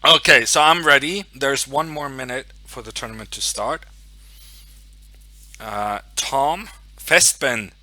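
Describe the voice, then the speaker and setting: Slow, bright-voiced Norwegian streamer. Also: male voice, mid-range voice, no room sound. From Norway. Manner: chatty, thinking aloud, muttering to himself. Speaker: chess streamer. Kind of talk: casual live-stream chat.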